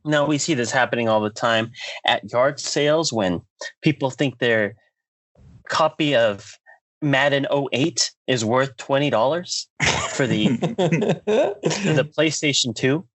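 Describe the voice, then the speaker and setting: An American man hosting a podcast, delivering a comedic rant in a theatrical, mock-announcer way with drawn-out emphasis. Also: medium pitch, a bit bright, dry recording. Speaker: podcast host.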